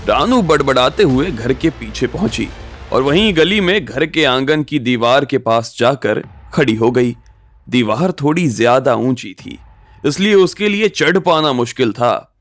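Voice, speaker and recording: A male audiobook narrator with a medium-pitched voice. He tells a scripted story in drama form. Faint music runs underneath. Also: expressive, measured pace, dry recording.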